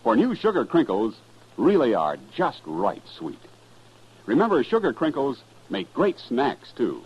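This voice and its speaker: A man's voice: medium pitch, huge pitch swings, a little slow, husky. A man announcing a 1950s radio commercial as a folksy, enthusiastic salesman. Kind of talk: 1950s radio advertising pitch. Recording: dull vintage radio recording.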